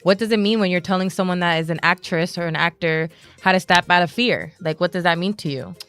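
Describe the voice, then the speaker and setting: A woman's voice: on the low side, steady tone, quick pace, fairly bright tone, clean tone. A woman hosting a live talk show, upbeat and chatty, with faint music behind her. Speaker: host. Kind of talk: casual live show chat.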